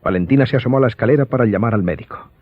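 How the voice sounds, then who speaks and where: A man giving scripted, dramatic audiobook narration in a husky voice. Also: mid-range voice, racing, no room sound. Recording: dull lo-fi sound.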